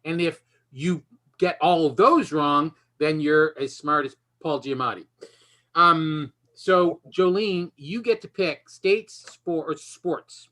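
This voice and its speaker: Bright-voiced man hosting a quiz. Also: fairly high pitch, slow. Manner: joking, self-deprecating. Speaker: game show host. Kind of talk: casual unscripted hosting of an online quiz. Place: video call from home.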